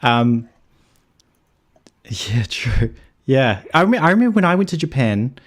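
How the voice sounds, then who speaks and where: A man's voice: medium pitch, big pitch swings, unhurried. Easygoing New man hosting. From New Zealand. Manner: easygoing, laughs often. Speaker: host. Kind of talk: casual roundtable chat. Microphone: video call.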